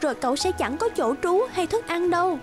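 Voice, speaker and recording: Woman as a high, earnest cartoon swan. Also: lively intonation, a little fast, music in the background. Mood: worried warning. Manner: earnest, urging. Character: concerned, sensible migrating swan flock member.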